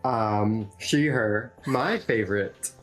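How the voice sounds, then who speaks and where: A woman answering casually as a cast member in a relaxed group Q&A. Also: a bass voice, takes it slowly, poor audio. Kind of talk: casual Q&A chat. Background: background music.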